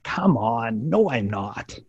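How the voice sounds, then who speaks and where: A man's voice: medium pitch, sing-song, slow pace, husky, no room sound, muffled recording, heavily acted. A man voicing an insecure, self-pitying nerdy schoolboy in an amateur sitcom table read, needy and self-deprecating. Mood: glum.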